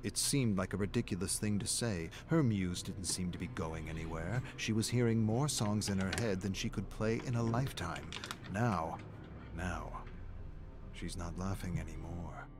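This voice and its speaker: A man playing a brooding narrator of dark game lore, reading with measured, deliberate drama. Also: low-pitched, over music. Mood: brooding, ominous. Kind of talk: dramatic scripted story narration.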